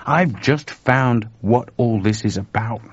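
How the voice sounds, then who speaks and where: A man voicing an urgent, excitable and worried male computer engineer in an audiobook story, speaking colloquially. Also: medium pitch, slow, breathy edge, no room sound. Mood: urgent, excited. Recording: dull lo-fi dry recording.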